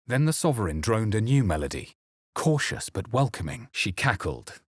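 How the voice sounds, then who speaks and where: Very low, husky fantasy narrator. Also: male voice, very animated, no echo, studio quality. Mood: solemn, suspenseful. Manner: measured, grave, dramatic pauses. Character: brooding male adventurer narrating his own dark memories. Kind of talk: solemn first-person narration in a fantasy video game.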